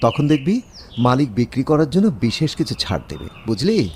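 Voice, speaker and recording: A man's voice: medium pitch, very animated, normal speed, darkish tone, a little hiss or hum. A man playing a practical companion giving advice in a radio drama. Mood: advising. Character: practical male companion advising on a land deal. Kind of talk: Bengali radio drama acting.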